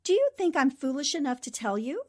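A woman's voice: high voice, very animated, fairly quick. An actress playing a defiant, wry female newspaper reporter under threat in a 1940s-style radio drama. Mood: defiant alarm.

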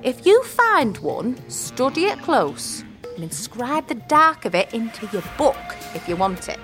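A woman's voice: fairly high pitch, sing-song, measured pace, music in the background. A woman playing a crotchety but kindly old hedge witch in a fantasy audio drama, teasing and speaking in folksy rustic dialect. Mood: instructive.

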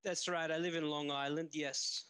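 A man's voice: fairly high pitch, steady tone, moderate pace, sharp tone, smooth, no echo, clean studio sound. A man playing a swaggering New York impressionist with a tough-guy manner, ending lines with "okay?".

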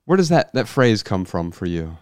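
Male podcast host, low and smooth, reflective, asks questions. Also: big pitch swings, normal speed, darkish tone, no room sound, clean studio sound. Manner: reflective, questioning, builds ideas aloud. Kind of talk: casual podcast conversation.

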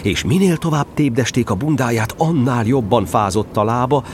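A man's voice: medium pitch, animated, slight background noise. A man narrating a children's story expressively, playfully drawing out phrases for effect.

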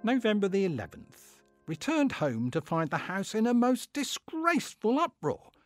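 A man's voice: high-pitched, very animated, normal speed, soft background music, voice pushed up. A man playing a pompous, fussy, middle-aged Victorian clerk who is easily affronted, in a comic dramatic diary reading. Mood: exasperated. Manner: pompous, indignant, self-satisfied.

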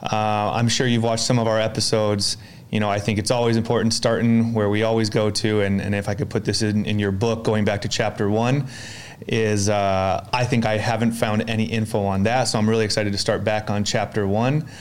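Brief: male podcast host, low and smooth voice, upbeat and chatty. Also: level tone, a little fast. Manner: upbeat, friendly, rambling questions with "um" and "uh". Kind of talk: casual interview conversation.

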